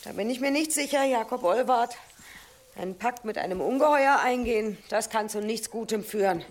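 A woman's voice: a little high, moderate pace, lightly acted. A woman in a radio play acting a sceptical blacksmith of olden times, dry and doubtful. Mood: gloomy, doubtful. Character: sceptical female smith of old pagan times. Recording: music under the voice.

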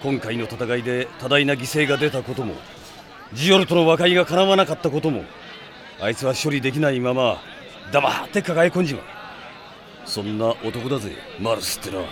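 An actor playing a rough but caring mercenary in a Japanese radio drama, blunt, casual and wry. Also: male voice, mid-range voice, lively intonation, fairly quick, fairly bright tone, lightly acted. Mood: serious, concerned.

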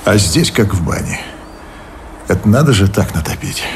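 An actor playing a plain-spoken member of an interrogation panel in a radio drama, with a husky voice. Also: male voice, lowish voice, lively intonation, normal speed, slight background noise, natural voice. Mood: grumbling. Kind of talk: Russian radio drama acting.

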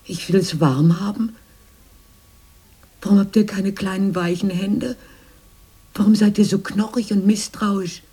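Husky, whispery, wistful fairy-tale sister. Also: female voice, medium pitch, steady tone, a little slow, pauses often, mellow. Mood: fearful. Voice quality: husky, breathy, often dropping to a whisper. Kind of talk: German radio drama acting.

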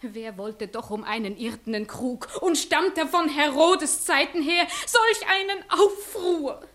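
A high, urgent young woman's voice as a distressed village bride. She is earnest, beseeching and defiant, in the classical acting style of a 1960s radio play. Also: lively intonation, slow, a bit bright, heavily acted. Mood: urgent pleading. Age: young adult.

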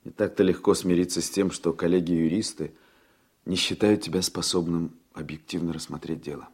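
Radio play: serious, troubled man, low-pitched, husky, earnest. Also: fairly even intonation, moderate pace, darkish tone. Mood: grave, concerned. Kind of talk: Soviet-style radio play acting.

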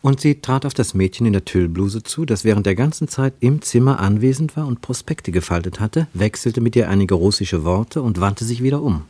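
A man narrating a literary radio play with expressive, scripted storytelling. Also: lowish voice, fairly quick, few pauses, husky, no echo.

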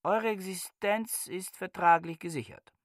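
An imperious bookish man for a radio play, with a fairly high voice. He speaks very slowly and formally, as if every sentence were an official address. His manner is pompous and stilted. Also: fairly bright tone. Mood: solemn, oratorical. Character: pompous, imperious, reclusive male scholar.

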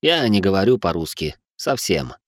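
A man doing a soft-spoken intellectual. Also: low voice, normal speed. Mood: polite. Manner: calm, mild, ironic.

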